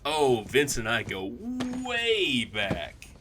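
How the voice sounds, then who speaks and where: A man's voice: mid-range voice, huge pitch swings, very slow, noisy background, heavily acted. A man playing an eerily cheerful, helpful young best friend in an audio drama, chirpy and overfamiliar. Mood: breezy, smug. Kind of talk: exaggerated audio drama acting.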